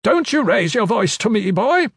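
A man voicing an imperious, sharp-tongued old woman magister who scolds everyone around her. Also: sing-song, normal speed, husky, no room sound, clean studio sound, full character voice. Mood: indignant. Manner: scolding, shrill.

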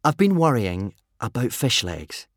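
A man's voice: medium pitch, very animated, unhurried, dry recording, studio quality. A man voicing a polite, earnest, worried young son of a Viking chief in a children's audiobook.

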